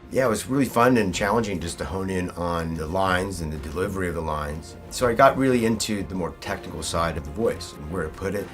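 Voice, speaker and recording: A man with a deep voice gives a casual actor interview. Soft music runs underneath. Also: fairly quick, few pauses.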